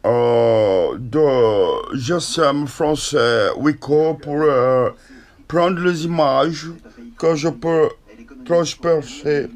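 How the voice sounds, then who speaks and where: A man talking in a halting way, with many hesitations between words. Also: fairly high pitch. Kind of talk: casual monologue.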